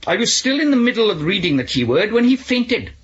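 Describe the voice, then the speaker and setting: A man's voice: sits high, sing-song, fairly quick. A man playing a smug, precise government expert in a 1970s radio drama, speaking formally and pedantically. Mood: precise, grave. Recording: dull lo-fi 1970s radio recording.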